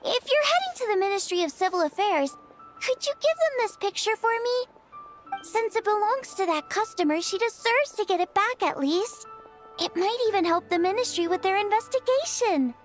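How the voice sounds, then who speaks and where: A woman playing a cheeky, lively, carefree teenage girl in a video game, breezy and playful. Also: very high-pitched, normal speed, soft background music. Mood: cheerful. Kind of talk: English video game voice acting.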